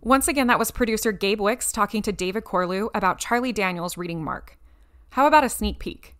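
Female podcast host, scripted delivery, medium register, clear music bed behind. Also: medium pitch, brisk, fairly bright tone. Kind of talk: scripted narration.

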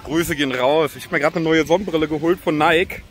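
A man, chatting casually and excitably in slang to a phone camera as a vlogger. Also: fairly high pitch, fairly quick. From Berlin, Germany. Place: outdoors on holiday, by beach, pool and roads. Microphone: phone at arm's length. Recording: noisy outdoor phone recording.

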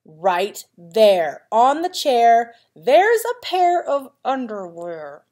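A woman's voice: on the high side, sing-song, drawn-out words, darkish tone. A woman reading a children's picture book aloud in a playful, dramatic manner, with chatty asides to young listeners. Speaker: elementary school vice principal reading to pupils.

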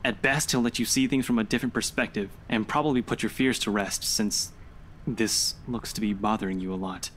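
A man's voice: lowish voice, steady tone, brisk, a bit bright, a little hiss or hum, close to an everyday voice. An actor playing a skeptical, know-it-all young man in a visual novel, blunt and argumentative. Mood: persuading. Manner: dismissive, argumentative.

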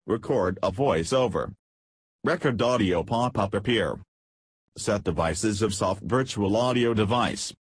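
Husky, low-voiced man narrating a tutorial. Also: a little slow, no room sound. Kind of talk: scripted software tutorial narration.

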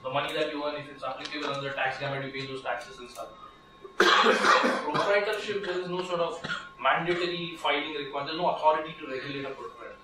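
A man's voice: a little high, fast. A man in his thirties with an Indian English accent, giving a conversational legal workshop talk with many restarts and fillers. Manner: conversational, lots of restarts and fillers, gestures while standing. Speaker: workshop speaker, lawyer. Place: small seminar room with projector. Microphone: far camera, no mic. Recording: echoey room from far off, background noise.

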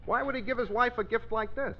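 A man's voice: very high voice, steady tone, fast. An actor playing a mischievous, wisecracking troublemaker pal in a 1950s radio comedy, a man with mock-serious delivery. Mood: playful. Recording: very noisy, muffled 1950s radio recording.

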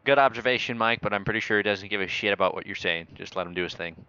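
A man's voice: on the low side, racing, pushed and bright, smooth, no room sound, dull audio. A man talking as an air traffic controller in a flight simulator game, joking in mock-official radio phrasing.

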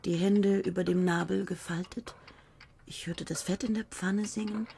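An actress in a radio play voicing a dreamy woman who muses on time and angels, often dropping to a hushed whisper. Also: medium pitch, normal speed, gravelly, a little hiss or hum, close to an everyday voice. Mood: musing. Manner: whispery, musing, shifts between hushed and excited.

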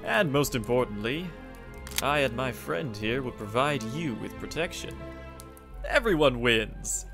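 A man playing a suave, sinister gentleman in a comic dub, theatrical and mockingly polite. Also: medium pitch, expressive, a little slow, gravelly. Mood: gleeful, menacing. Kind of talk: comic dub character acting. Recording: voice over background music.

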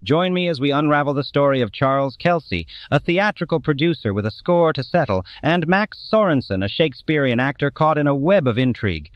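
A man's voice: on the high side, expressive, a little fast, no echo, highs cut off. A man reading a scripted promotional introduction as a show host.

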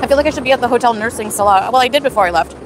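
A woman's voice: a little high, very fast, noisy background. A woman in her thirties livestreaming on her phone at an outdoor night market, chatting casually and reading out viewers' names between bites. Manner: chatty, reads chat names aloud while eating. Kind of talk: casual live stream chat. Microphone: phone at arm's length. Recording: outdoor phone stream with loud background music.

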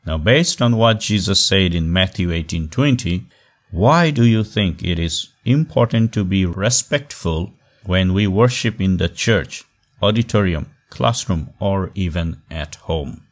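A man teaching an online class in a voice-over on slides, speaking in short measured phrases with emphatic pauses. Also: sits low, animated. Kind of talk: recorded online class lecture.

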